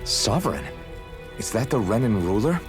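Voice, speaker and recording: An actor playing an earnest, questioning young freedom fighter in a video game, unsure of himself. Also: male voice, lowish voice, big pitch swings, normal speed, music in the background. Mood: curious.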